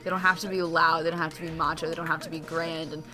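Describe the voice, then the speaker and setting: A man co-hosting a game playthrough, chatting and telling an animated story. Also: fairly high pitch, fast. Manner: animated storyteller, laughs easily. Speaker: let's play co-host. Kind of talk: casual chat over gameplay. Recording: faint game music behind.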